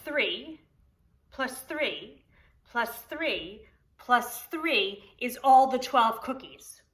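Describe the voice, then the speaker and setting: A woman preschool teacher reading aloud to young children, speaking expressively with deliberate enunciation and explaining as she goes. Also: on the high side, lively intonation, fairly bright tone. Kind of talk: read-aloud for young children with counting lesson.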